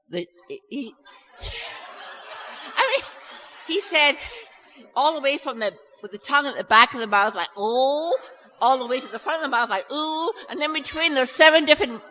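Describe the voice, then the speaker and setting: A woman's voice: a little high, sharp tone. A Scottish woman chatting to a live audience before she sings, nervous and self-deprecating, with many restarts. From Scotland. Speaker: festival singer introducing a song. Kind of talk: chatty stage talk to an audience. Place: church hall. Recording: live recording in a reverberant hall.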